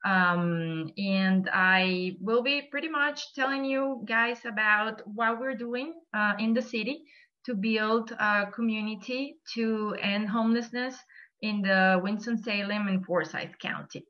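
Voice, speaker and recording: A woman from Venezuela with a Venezuelan Spanish accent, giving a friendly online presentation to a church group and filling her pauses with "um". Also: mid-range voice. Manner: friendly, many "um"s, explaining slide by slide. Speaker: guest speaker. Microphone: video call. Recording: muffled video call audio.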